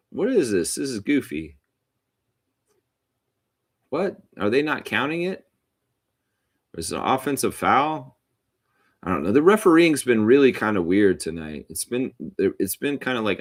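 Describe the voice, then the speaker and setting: A man hosting a casual basketball watchalong, calling the play-by-play with informal, chatty asides. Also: fairly low pitch. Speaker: live stream host calling play-by-play.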